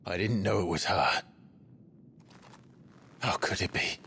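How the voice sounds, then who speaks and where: A brooding, stubborn young soldier from a video game. His voice is low and gravelly, with a grave, intense, clipped delivery. Faint rumbling noise runs underneath. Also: takes it slowly, pushed and bright, heavily acted. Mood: guarded, defensive. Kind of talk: video game cutscene acting.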